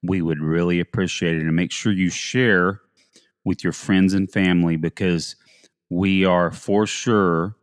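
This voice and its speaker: A male podcast host with a low voice, speaking in an earnest and grateful tone. He often halts with fillers as he talks. The recording is dry and close. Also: dry recording, in a large hall. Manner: earnest, thankful, halting with fillers. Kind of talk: casual podcast chat.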